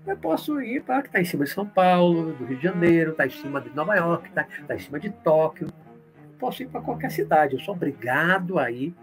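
A man from Olinda, explaining spiritual ideas in a live talk and answering viewer comments, often checking in with a quick "right?". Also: a little high, sing-song, soft background music. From Brazil, born in Olinda, Pernambuco. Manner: explanatory, tag questions, reads comments aloud then answers.

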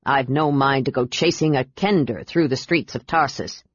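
A stern male knight gives short, low orders, as a woman reading the story puts him on. The voice is husky and dry, and the sound is a little dull. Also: moderate pace, no echo.

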